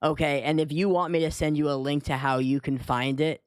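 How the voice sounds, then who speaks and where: A man's voice: on the high side, fairly even intonation, fairly quick, clear, resonant tone, dry recording, studio quality. A man co-hosting and interviewing on a casual livestream. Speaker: co-host interviewer.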